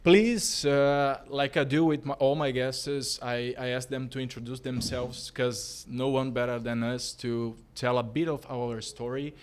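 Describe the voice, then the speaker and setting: A man with a Brazilian Portuguese accent, a native Portuguese speaker, hosting a casual podcast interview in informal, halting English. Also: medium pitch, steady tone, smooth. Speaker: podcast host.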